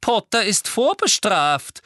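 A man's voice: lively intonation, measured pace, a bit bright, no room sound, clean studio sound. A man voicing a small, spiteful, prissy woman official in an audiobook, with an affected, indignant manner. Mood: loud, furious. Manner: affected, shrill, cold, indignant. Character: small, prissy, spiteful middle-aged female ministry official. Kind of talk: audiobook character acting.

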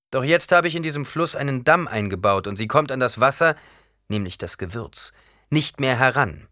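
A man reading an audiobook, voicing a cold, defiant young ruler who talks forcefully and rises into declaiming shouts. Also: medium pitch, no room sound, studio quality, pitched above the performer's own voice. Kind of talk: audiobook character voice.

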